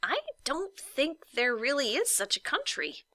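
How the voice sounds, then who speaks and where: A woman's voice: high-pitched, big pitch swings, unhurried, bright, forceful tone, no room sound, pitched above the performer's own voice, exaggerated voice. A woman voicing a bored, skeptical young boy in a storybook, musing half to himself. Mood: dismissive.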